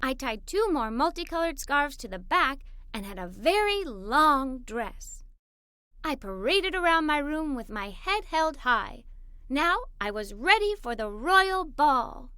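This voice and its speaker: Woman voicing a bright, high-pitched, excited little girl storyteller. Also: unhurried, dry recording, full character voice. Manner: expressive, enthusiastic storytelling. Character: Molly, excited adventurous little girl telling her day. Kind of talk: children's read-aloud story narration.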